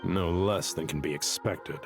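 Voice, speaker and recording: A man's voice: deep voice, unhurried, gravelly, music in the background. A man playing an earnest, polite scholarly aide in a video game, speaking formally and deferentially. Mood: formal, serious.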